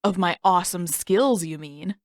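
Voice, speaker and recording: A woman's voice: on the low side, moderate pace, dry recording, clean studio sound, close to an everyday voice. A woman voicing a cocky, competitive teenage boy in a storybook, boastful and griping. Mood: smug.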